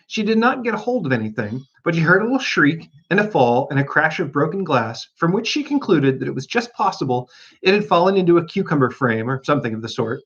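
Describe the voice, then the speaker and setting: A man narrates a storybook as an audiobook reader. His voice is on the high side and very animated, with expressive delivery. The recording is slightly dull and lo-fi. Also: fast, husky.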